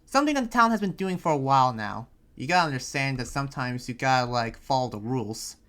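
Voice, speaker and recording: A man playing an earnest, preachy but friendly town leader in an anime roleplay, speaking in a halting, informal way. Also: mid-range voice, animated, a bit bright, smooth, natural voice. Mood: firm. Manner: halting, rambling, informal advice-giving. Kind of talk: improvised Minecraft anime roleplay. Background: music under the voice.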